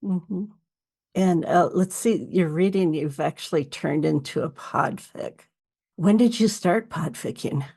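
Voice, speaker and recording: A woman's voice: lowish voice, a little slow, creaky, a little mellow, husky. A woman hosting a casual interview chat as the interviewer. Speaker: podcast host, interviewer.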